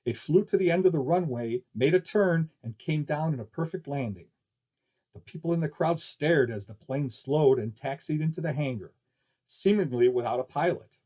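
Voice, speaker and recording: A man's voice: on the high side, expressive, moderate pace, darkish tone. A man reading a novel aloud as scripted story narration.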